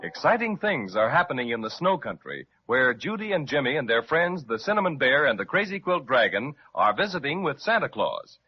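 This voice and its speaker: A man's voice: medium pitch, big pitch swings, brisk, few pauses. A man narrating a 1930s children's radio serial, speaking straight to the listeners like a storyteller. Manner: warm storyteller, addresses listeners. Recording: dull lo-fi 1930s radio with music.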